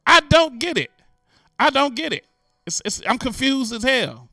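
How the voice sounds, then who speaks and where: A man hosting a show, giving animated, incredulous, joking and slangy commentary on the news. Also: very high voice, a little slow, no echo, studio quality, faint music behind. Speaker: host. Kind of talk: comic commentary on news stories.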